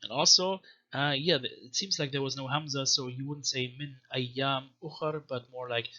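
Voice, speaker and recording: A man giving an explanatory language lesson, reading text samples aloud in a careful, hesitant, word-by-word manner with pauses. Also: mid-range voice, fairly even intonation, sharp tone, muffled recording. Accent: non-native Arabic accent when reading Arabic. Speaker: language teacher, presenter of a video series.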